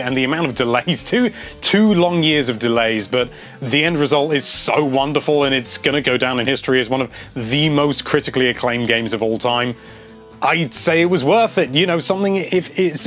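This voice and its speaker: A man's voice: mid-range voice, fairly bright tone. A man hosting a casual video, reading out viewer comments and chatting about them in a rambling, enthusiastic way.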